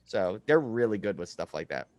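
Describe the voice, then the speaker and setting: A man's voice: fairly low pitch, very animated, normal speed, no echo. Man chatting in a dry, close sound. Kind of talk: casual chat.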